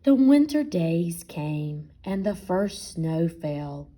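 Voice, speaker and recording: A woman reads a children's picture book aloud like a teacher, stopping to ask and explain. Her pitch swings widely, her pace is unhurried, and her voice is soft-edged and husky. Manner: expressive, pausing to question and explain. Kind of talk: picture-book read-aloud with questions to young listeners.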